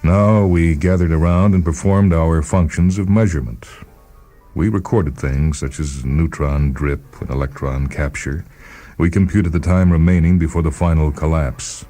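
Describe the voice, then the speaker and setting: A man reading a first-person short story as a measured, deliberate dramatic narrator. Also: a bass voice, mellow, faint music behind.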